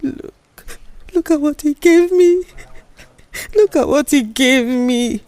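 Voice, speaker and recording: A woman's voice: sits high, slow, a little mellow, no room sound. A Nigerian woman playing a distraught, frightened young office employee in an audio drama, crying and short of breath.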